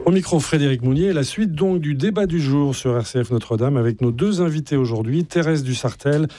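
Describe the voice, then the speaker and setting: A man's voice: on the high side, brisk, dark tone, no room sound, faint music behind. A man hosting a live radio debate, asking probing questions and rephrasing what is said. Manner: probing, rephrases guests' points.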